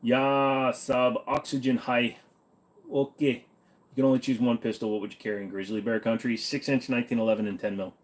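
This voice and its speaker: A man's voice: medium pitch, level tone, fairly quick. Blunt, joking male streamer. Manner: offhand, blunt, joking, swears. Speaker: host answering viewer questions. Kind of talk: casual livestream Q&A.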